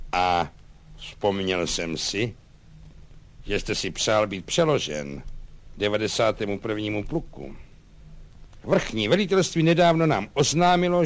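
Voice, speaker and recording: A man voicing a grim, pompous army colonel in a storybook, muddle-headed, halting and repeating himself. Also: medium pitch, natural voice.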